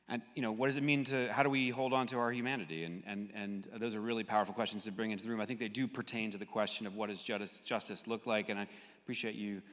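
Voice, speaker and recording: A man hosting a live audience discussion, speaking off the cuff in a searching, halting way. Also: lowish voice, a bit bright. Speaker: host moderating an audience discussion. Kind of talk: spontaneous discussion moderating. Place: large hall at a live public event. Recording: echoey hall with long reverb.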